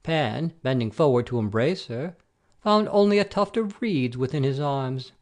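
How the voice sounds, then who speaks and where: A man playing a wily messenger god posing as a simple shepherd, with an expressive storyteller's delivery in an amateur audio play. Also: medium pitch, normal speed, lightly acted. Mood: lyrical storytelling.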